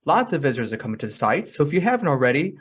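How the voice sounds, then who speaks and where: A man's voice: a little high, very animated, rapid-fire, slightly rough. A man co-hosting a casual podcast in an upbeat, playful way. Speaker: podcast co-host. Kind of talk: casual chat.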